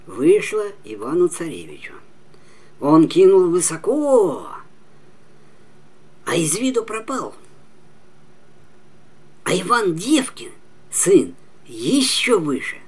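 Old guy in his 70s reading a fairy tale, really animated pitch, takes his time. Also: husky. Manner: slow, emphatic, with dramatic pauses and drops to near whisper. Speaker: storyteller. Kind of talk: expressive fairy-tale narration.

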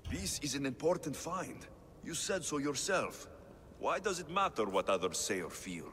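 Steady mercenary hero, expressive. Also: male voice, a little high, moderate pace, a little hiss or hum. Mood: encouraging. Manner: firm, reassuring, direct. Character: steady, practical young male ancient Greek mercenary hero. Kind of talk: video game cutscene acting. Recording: long reverb, music behind.

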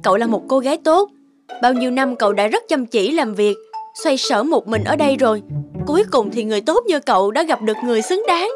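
A woman's voice: on the high side, animated, brisk, over music, natural voice. An actress voicing a supportive, sensible young woman in a cartoon, encouraging and advising. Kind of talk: cartoon dialogue.

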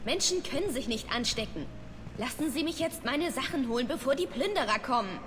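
Indignant high-voiced resident. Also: female voice, expressive, brisk, fairly bright tone. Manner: insistent. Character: indignant, desperate human woman shut out of home. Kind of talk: video game dialogue. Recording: reverberant game scene, faint noise.

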